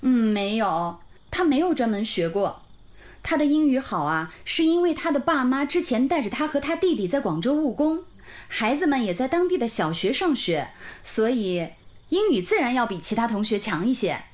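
A woman's voice: fairly high pitch, very animated, moderate pace, a little hiss or hum. An actress playing a friendly, caring woman who teaches at a village school, in a radio drama. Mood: explaining warmly. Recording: music under the dialogue.

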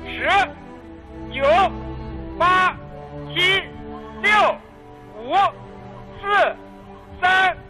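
A woman's voice: very high voice, animated, drawn-out words, long pauses, pushed and bright, soft background music, exaggerated voice. A woman playing a tense, commanding launch countdown officer in a radio drama.

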